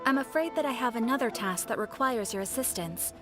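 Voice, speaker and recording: Woman as a polite receptionist npc in a game, highish voice, formal and businesslike, music playing behind. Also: expressive, close to an everyday voice. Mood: courteous, serious. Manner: formal, courteous, measured phrasing. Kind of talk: scripted game character dialogue.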